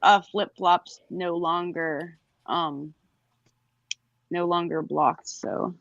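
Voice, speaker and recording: A woman with a low, gravelly voice, chatting casually as a show co-host. She explains things at length. The sound is dry and dull, like lo-fi online call audio. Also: steady tone, drawn-out words, fairly bright tone, dry recording. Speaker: podcast co-host. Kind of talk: casual group chat.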